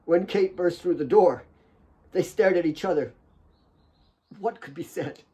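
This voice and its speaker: A man's voice: high voice, a little slow, dark tone, husky. A man reading his own novel aloud as a dramatic audiobook narration.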